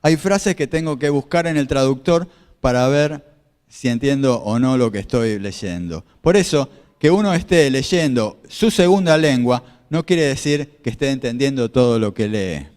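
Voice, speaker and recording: A man's voice: medium pitch, lively intonation, normal speed, darkish tone, clean tone, big-room sound. A man preaching a sermon as a pastor, explaining scripture and asking his listeners questions. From Argentina. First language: Spanish. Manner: explanatory, repeats phrases, asks the audience questions. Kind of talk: sermon with slides.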